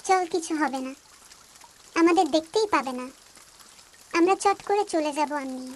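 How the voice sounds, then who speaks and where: A woman's voice: sits high, measured pace. A woman voicing a chatty, carefree young schoolgirl in a cartoon story.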